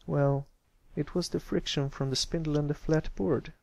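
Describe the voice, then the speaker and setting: A man's voice: a little high, fairly even intonation, moderate pace, vocal fry, darkish tone, pitched above the performer's own voice. A man voicing a bashful, meek young colt in a storybook reading, stammering and mumbling modestly.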